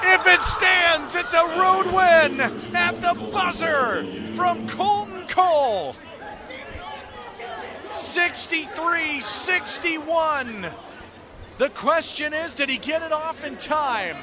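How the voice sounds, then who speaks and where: High-pitched American man calling live sports. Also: slow pace, crowd noise, noisy background. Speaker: sports radio play-by-play announcer and interviewer.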